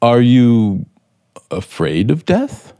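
An actor playing a brooding, manipulative scriptwriter in 1950s radio suspense style, probing deliberately with dramatic pauses. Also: male voice, medium pitch, big pitch swings, warm tone. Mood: intense, testing. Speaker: actor in the lead role. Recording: 1950s radio broadcast, music behind.

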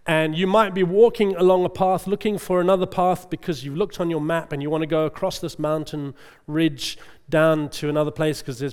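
A middle-aged man preaches in a relaxed, conversational way, often asking the congregation for an "amen." His voice is smooth and resonant and sits a touch high. The sound carries the long reverb of a large church hall. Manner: chatty, checks in with the congregation with "amen?". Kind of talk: conversational sermon.

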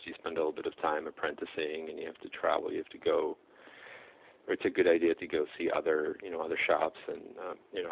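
A man's voice: sits low, creaky. A middle-aged man from the Czech Republic, talking as a guest in a casual interview, hesitant and full of fillers. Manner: hesitant, many fillers and restarts. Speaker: guest, blacksmith. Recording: tinny phone-like audio.

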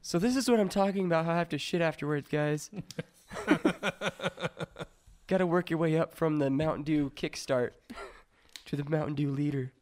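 A young man hosting a crude, casual podcast chat, leading the segments and laughing loudly between jokes. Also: fairly high pitch, moderate pace, long pauses. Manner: loud laughing, animated, leads the segments. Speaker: podcast host. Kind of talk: crude casual group banter.

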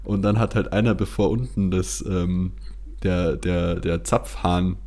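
A young man with a very low voice. He hosts a podcast and talks in a casual, conversational way, as if telling stories among friends. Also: level tone, unhurried, a little mellow. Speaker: podcast host. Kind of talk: casual anecdotal chat.

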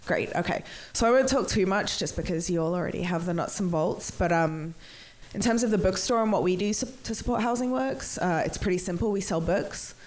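A woman's voice: on the low side, fairly quick, darkish tone. An English woman hosting a bookstore event, nasal from a cold, chatty with many ums and restarts. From England. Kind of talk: event introduction.

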